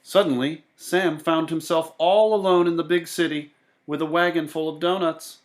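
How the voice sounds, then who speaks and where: A man reading a children's story aloud in a theatrical, expressive way. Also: on the high side, moderate pace. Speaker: storybook reader. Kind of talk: animated children's story narration.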